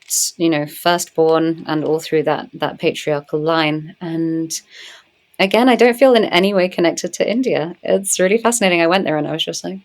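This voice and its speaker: Deep-voiced woman, reflective interview guest. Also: moderate pace. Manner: reflective, earnest, animated when telling stories. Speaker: podcast guest, medicine woman. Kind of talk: conversational interview.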